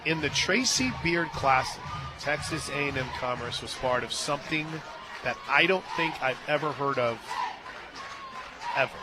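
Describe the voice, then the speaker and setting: A man doing live play-by-play softball commentary for radio from a stadium booth. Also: mid-range voice, animated, moderate pace. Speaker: play-by-play announcer. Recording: crowd noise behind. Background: ballpark crowd and music.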